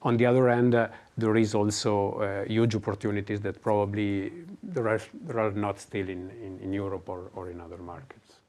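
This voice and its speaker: A man on a business panel, his voice sitting a little low. He speaks haltingly, with frequent fillers and restarted phrases. He keeps the tone of a webinar discussion. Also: fairly even intonation, darkish tone.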